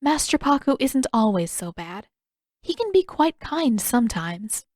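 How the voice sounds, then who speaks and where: Woman doing a gentle polite young princess, higher voice, composed, storybook, dry recording. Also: sing-song, unhurried, no room sound. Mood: gently wry.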